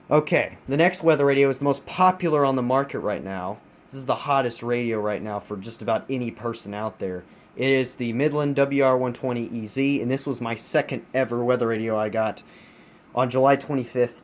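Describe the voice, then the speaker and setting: A man from the United States giving a casual, emphatic hobbyist product review, repeating words for stress. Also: medium pitch. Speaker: collector reviewing his weather radios. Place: carpeted room at home. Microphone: handheld phone camera, speaker off screen. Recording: noisy home phone-camera recording.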